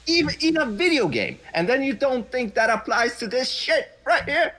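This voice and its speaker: A man's voice: very high-pitched, very animated, moderate pace, bright, forceful tone. A man from Sweden, not a native English speaker, debating in a blunt, profane, insistent way. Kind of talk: heated debate.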